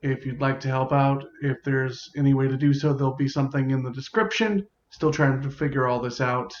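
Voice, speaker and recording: A man's voice: mid-range voice, steady tone, brisk, highs cut off. A man giving an improvised, casual tutorial as a game host, informally thinking aloud in loose phrases. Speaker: host explaining how to write larp game modules.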